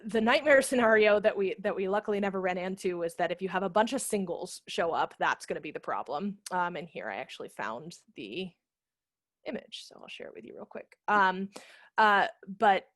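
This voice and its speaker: A woman in her thirties talks through practical details in an informal, explanatory way. Her pitch is average, and the sound is close and dry, from a headset on a video call. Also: lively intonation, moderate pace, dry recording. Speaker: guest speaker, theatre managing director. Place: room at home. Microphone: headset microphone on a video call.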